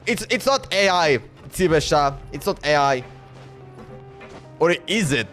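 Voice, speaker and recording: High-pitched male streamer, casual gameplay commentary, thinking aloud, repetitive phrasing, faint music behind. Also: lively intonation, unhurried, fairly bright tone. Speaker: livestreamer playing a video game. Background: game music.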